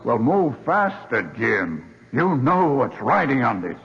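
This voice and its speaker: An actor playing a stern, impatient navy admiral in a 1970s radio drama, commanding and urgent, giving clipped orders. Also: male voice, medium pitch, very animated, slow, creaky, loud music behind the voice, heavily acted. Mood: pressing, impatient. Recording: dull vintage radio drama recording.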